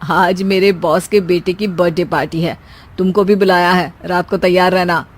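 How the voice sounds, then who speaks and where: A woman's voice: on the low side, steady tone, pitched below the performer's own voice, lightly acted. Woman putting on a bossy, teasing cartoon husband. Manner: bossy, hurried, jokey. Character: impatient, demanding, teasing husband, adult man. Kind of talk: cartoon story dialogue.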